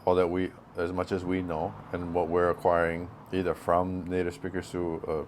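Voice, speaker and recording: Young man, deep monotone voice, earnest interview host, faint background hum. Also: normal speed. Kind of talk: casual interview conversation.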